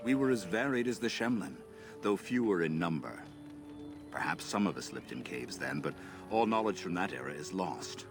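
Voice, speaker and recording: Deep-voiced, solemn elven elder. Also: male voice, very animated. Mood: grave. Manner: measured, formal storytelling. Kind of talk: scripted fantasy game acting. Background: game music.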